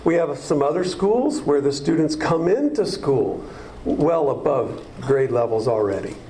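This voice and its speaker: A man's voice: a little high, animated, unhurried, warm tone, slight background noise, rough recording. A man presenting district data to a school board, explaining at length with frequent fillers and restarts.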